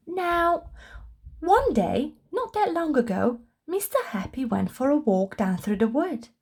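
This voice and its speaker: A woman reading a children's storybook aloud with expressive, deliberate narration for young listeners. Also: high voice.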